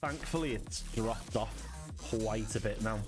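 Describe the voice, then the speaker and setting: A man's voice: on the low side, a little slow. A man with a Liverpool accent, chatting casually on his game stream, thanking viewers and chuckling often. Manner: chatty, thanks chat, frequent chuckles and fillers. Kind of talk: relaxed live-stream chat. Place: home. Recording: clear background music. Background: music.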